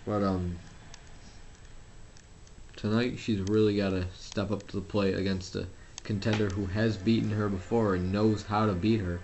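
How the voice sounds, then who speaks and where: A man's voice: on the low side, level tone, moderate pace, pauses often, darkish tone, slight background noise. A young man commentating a wrestling video game at home, rambling and self-deprecating, with lots of fillers and restarts. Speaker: gameplay commentator. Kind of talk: casual solo game commentary.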